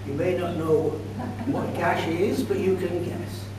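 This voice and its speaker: A man in his sixties telling a comic tall tale to an audience as a contest speaker, in a deliberate, mock-grand deadpan with dramatic pauses. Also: high voice. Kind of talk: theatrical comic storytelling speech to an audience. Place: indoor function room at a club meeting. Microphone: distant camera microphone. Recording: distant echoey room recording with loud noise and hum.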